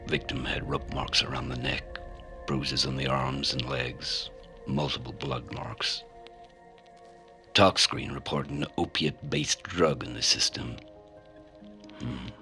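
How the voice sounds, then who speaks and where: A world-weary, cynical male detective played by an actor narrates a noir radio play. His voice sits extremely low and moves slowly. He broods through his monologue like a hardboiled cop. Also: fairly even intonation, creaky, bright, forceful tone, faint music behind, full character voice. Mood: grim, weary.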